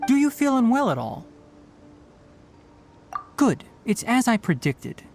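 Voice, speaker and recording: A man voicing a calm, precise young forest researcher in an anime-style video game, articulate and matter-of-fact. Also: extremely high pitch, takes it slowly, vocal fry, soft background music, full character voice. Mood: analytical.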